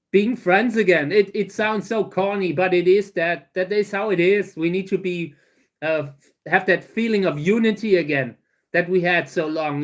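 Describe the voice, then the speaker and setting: A German man in his thirties with a German accent, answering viewer questions on a casual livestream, halting and restarting his sentences often. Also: sits high. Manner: halting, many restarts and fillers. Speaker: livestream host.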